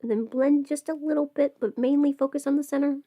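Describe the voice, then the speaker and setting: A woman's voice: high voice, fairly even intonation, a little fast, dark tone, dry recording. Chatty woman rambling in a dry close recording. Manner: chatty, rambling, thinking aloud, sometimes playful. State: sick with a cold or illness. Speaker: livestream host and audio performer. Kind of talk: casual makeup livestream chat.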